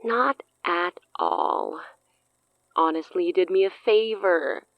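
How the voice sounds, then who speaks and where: An actress playing a poised, teasing society hostess with veiled menace in an audio drama. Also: female voice, on the low side, slow pace, no echo, highs cut off. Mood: arch, welcoming. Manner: arch, theatrical, veiled menace.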